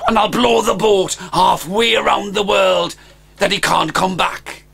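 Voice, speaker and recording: A man's voice: sits high, steady tone, unhurried, vocal fry, a bit bright, slight background noise, pitched above the performer's own voice, full character voice. A man voicing a big, gullible young dragon boy who whines and pleads. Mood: scheming.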